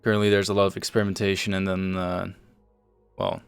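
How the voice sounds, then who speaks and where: A man with a low, clean and resonant voice talks through a game project as the host of a stream. His delivery is casual and measured, with pauses to find his words. Soft music plays faintly underneath. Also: flat delivery, creaky, clean tone, no room sound. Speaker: host and project lead on a developer livestream. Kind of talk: casual show-and-tell commentary over game screenshots.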